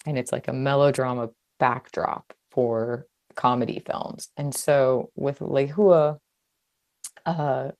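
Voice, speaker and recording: A woman from Hawaii, an author being interviewed, talking in a casual, chatty and expressive way. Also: extremely low pitch, slow pace, no room sound. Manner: chatty, expressive, laughs easily. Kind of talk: casual conversational interview about own writing.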